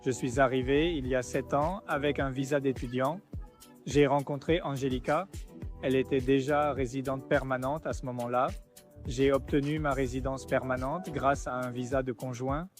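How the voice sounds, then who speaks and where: A man's voice: medium pitch, steady tone, normal speed, soft background music. A man from Colombia giving brief, matter-of-fact answers in an interview.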